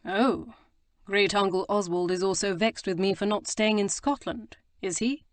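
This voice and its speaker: A woman's voice: mid-range voice, normal speed, no room sound, lightly acted. A woman voicing a sardonic, amused aristocratic man with a dry, languid, teasing manner in an audiobook. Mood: dryly amused. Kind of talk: audiobook character reading.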